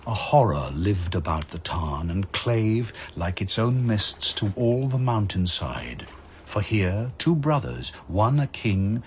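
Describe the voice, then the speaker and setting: A man narrating dramatic verse as a solemn, measured storyteller. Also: on the low side, a little hiss or hum.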